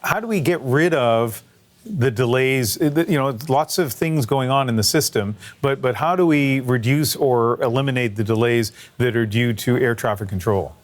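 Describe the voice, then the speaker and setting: A man's voice: mid-range voice, moderate pace. A man hosting a news interview, asking probing questions in an informal, conversational way. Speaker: news show host, interviewer.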